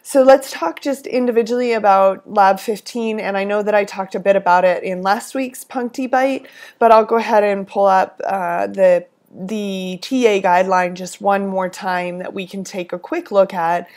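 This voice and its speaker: A hoarse woman coordinating a lab course, briefing teaching assistants in a friendly, instructive way with frequent fillers and restarts. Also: medium pitch, fairly even intonation, moderate pace, darkish tone. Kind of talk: recorded weekly instructional briefing.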